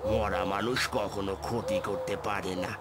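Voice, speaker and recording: Man playing a slow, eerie old villager. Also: lowish voice, fairly even intonation, heavy background noise, natural voice. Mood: calm, ominous. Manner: slow, drawling, folksy and unhurried.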